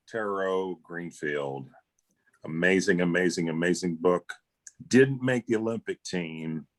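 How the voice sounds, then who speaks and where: Deep-voiced American man, dry close sound. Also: a bit bright, dry recording. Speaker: show co-host. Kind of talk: casual chat, enthusiastic sports fan talk.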